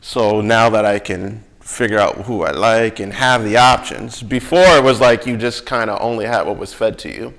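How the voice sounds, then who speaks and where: An American man with a fairly low voice and a gravelly creak. He talks in a casual, reflective way, thinking through personal experience and often tagging his points with little checks for agreement. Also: steady tone, normal speed, few pauses, a bit bright. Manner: conversational, often seeking agreement with tag questions.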